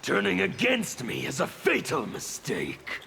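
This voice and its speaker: An actor playing a fanatical anarchist revolutionary in a fighting game, with a gravelly, menacing, deliberate delivery. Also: male voice, high voice, huge pitch swings, pushed and bright, in a large hall, slight background noise, full character voice. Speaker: video game character. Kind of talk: dramatic fighting game dialogue.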